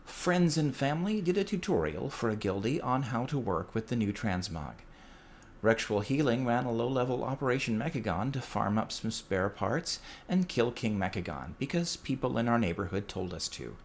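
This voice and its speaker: A man's voice: medium pitch, very animated, normal speed. Man reading a listener report, faint noise. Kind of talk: prepared report read aloud.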